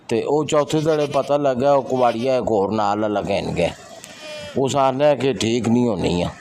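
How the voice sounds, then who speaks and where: A man's voice: medium pitch, darkish tone, slight background noise, close to an everyday voice. A man playing a chatty, grumbling, hard-up villager in an amateur rural audio drama, speaking colloquially.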